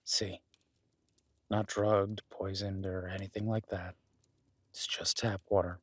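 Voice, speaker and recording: Guy acting a gruff cabin loner, low husky voice, blunt but secretly caring, audio roleplay. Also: level tone, takes it slowly, no echo. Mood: grudging patience. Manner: blunt, sweary, grudgingly reassuring. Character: gruff, skeptical but caring man living in a remote cabin. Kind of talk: immersive audio roleplay, intimate naturalistic acting.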